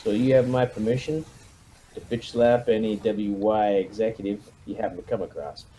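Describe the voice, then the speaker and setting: A man's voice: lowish voice, fairly even intonation, normal speed, mellow, slight background noise, poor audio. A man leading a squad in a tabletop roleplaying game, briefing it with military jargon and command phrasing over an online call.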